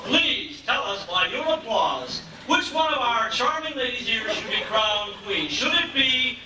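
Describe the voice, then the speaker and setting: An actor playing a showy 1950s TV game show host on stage, with grandiose, drawn-out patter. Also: male voice, very high voice, big pitch swings, sharp tone, exaggerated voice. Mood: showy, teasing. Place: theater stage before a live audience. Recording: echoey hall, distant mic, noisy amateur videotape.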